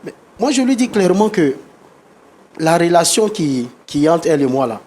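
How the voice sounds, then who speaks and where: A man's voice: fairly high pitch, sing-song, darkish tone, breathy edge. Defensive man with the flu. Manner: defensive, quickly heated, repeats himself. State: has the flu. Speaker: guest on a reconciliation show. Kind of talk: talk show dispute.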